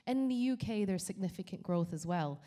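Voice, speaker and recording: Brief: middle-aged woman, founder pitching at an event, dark mellow tone, handheld mic. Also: animated, fairly quick. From Canada. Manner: enthusiastic, with small restarts. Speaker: company founder giving a pitch. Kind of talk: prepared stage talk. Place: event venue with brick wall and audience. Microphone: handheld microphone.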